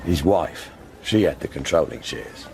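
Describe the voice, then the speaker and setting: A man's voice: extremely low pitch, expressive, measured pace, vocal fry, background noise, exaggerated voice. A man acting in a radio drama as a world-weary, proud older club owner, speaking in wry, clipped fragments. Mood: regretful, resigned.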